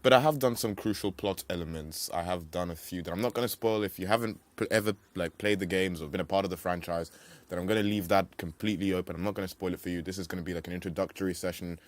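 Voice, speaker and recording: A young man with a low voice hosts a gaming playthrough. He rambles casually, throwing in playful slang and mild swearing. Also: steady tone, fast, few pauses. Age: young adult. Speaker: let's play host. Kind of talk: casual video game commentary.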